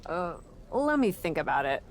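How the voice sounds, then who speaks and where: A woman playing a cheeky, quick-witted female wrestler and acting manager in a video game cutscene. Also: fairly low pitch, sing-song, slight background noise. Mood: guarded. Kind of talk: scripted game cutscene dialogue.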